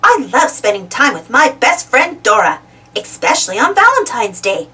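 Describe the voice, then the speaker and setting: A woman voicing an excited, friendly young male monkey in a children's storybook, eager and exclamatory. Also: fairly high pitch, moderate pace, far from the mic, voice pushed down. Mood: excited, fond. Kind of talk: children's storybook read-aloud.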